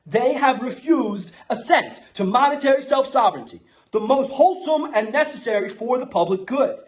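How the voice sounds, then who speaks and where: A man's voice: very high-pitched, animated, normal speed. A man playing a brash, streetwise, foul-mouthed Bronx guy with a New York accent. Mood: mock-solemn, declaiming. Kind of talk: casual ranting and mock-solemn declaration reading.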